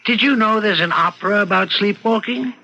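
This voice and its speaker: An actor playing a doting, pedantic seventy-year-old husband in an old-time radio drama, fussily polite and a little hesitant. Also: male voice, high-pitched, fairly quick, creaky, pushed and bright, exaggerated voice. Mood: fussy, genial. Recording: dull, faintly noisy vintage radio recording.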